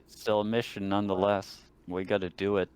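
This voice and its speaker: Laid-back low-voiced man, slightly noisy. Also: flat delivery, brisk, darkish tone. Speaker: player in a tabletop roleplaying game. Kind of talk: casual in-game chat and roleplay.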